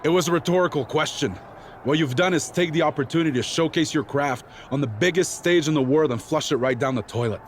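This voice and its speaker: A sarcastic male pro wrestler, voiced by an actor, sneering with open scorn in a video game scene. His voice runs a bit high, with faint noise behind it. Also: quick pace, close to an everyday voice. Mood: contemptuous. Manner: sneering, mocking. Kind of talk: cinematic sports game dialogue.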